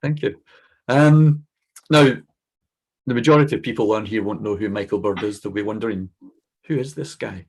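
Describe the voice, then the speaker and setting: A man's voice: fairly low pitch, normal speed, long pauses, husky. A man hosting a podcast, welcoming and encouraging his guest as he asks questions. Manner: friendly, encouraging interviewer. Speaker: podcast host. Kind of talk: casual interview conversation.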